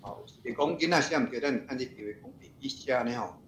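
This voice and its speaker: A man's voice: medium pitch, animated, fast talker, a bit bright, slight background noise. Taiwanese man moderating, very echoey sound. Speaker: moderator of the class. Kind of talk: informal moderating and commentary. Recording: echoey online class audio.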